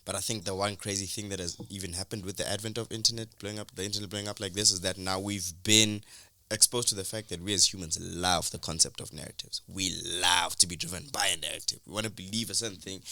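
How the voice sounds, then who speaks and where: A young man from Durban chats through his story as a guest, loose and rambling, full of ums and restarts. His voice sits low with a gravelly edge but a bright, forward tone. The sound is close and dry. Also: quick pace, no echo. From South Africa, Durban. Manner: relaxed, rambling with fillers and restarts. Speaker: musician guest. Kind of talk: casual interview storytelling.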